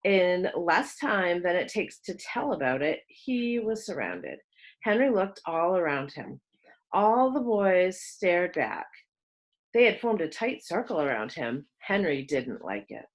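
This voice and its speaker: A woman reading a children's story aloud as narrator, expressive and with dramatic pauses. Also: lowish voice, poor audio. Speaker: storybook reader.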